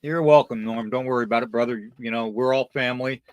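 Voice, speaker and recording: Casting: male podcast host, friendly and loose, circles back on phrases, medium pitch, dry recording. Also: fairly even intonation, fast, no echo. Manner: friendly, rambling, repeats phrases. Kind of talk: casual live chat, greeting and asking listeners.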